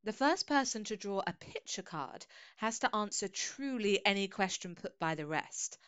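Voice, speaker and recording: A woman's voice: medium pitch, lively intonation, normal speed, fairly bright tone, clear, resonant tone, lightly acted. An actress playing a haughty, condescending young woman in an audio drama. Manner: condescending, prim.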